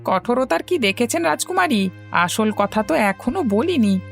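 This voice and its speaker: A woman's voice: on the high side, sing-song, moderate pace, creaky, faint music behind. A woman voicing a cold-hearted palace attendant in a fairy-tale cartoon, mocking yet formal. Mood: taunting.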